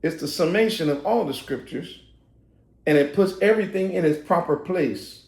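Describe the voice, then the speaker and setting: A man preaches in a high, gravelly voice. He shouts and laughs through his asides, then slows down to read scripture. The room rings with echo. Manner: loose, shouting and laughing, then slow verse reading. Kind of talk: street-style sermon teaching.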